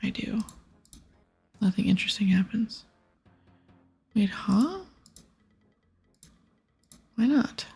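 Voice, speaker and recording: A woman whispers and softly murmurs her way through a game in ASMR style. Her voice is very husky and breathy, with a crackle of vocal fry. Music plays faintly behind her. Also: mid-range voice, expressive, slow, long pauses. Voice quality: breathy, hushed. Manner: mostly whispering, with stretches of soft speech. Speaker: ASMR gaming streamer.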